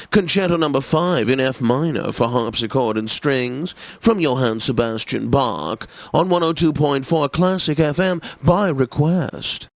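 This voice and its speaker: A man playing a pompous, refined classical radio DJ in a video game, presenting with affected, deliberate enunciation and droll gentility. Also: mid-range voice, no room sound.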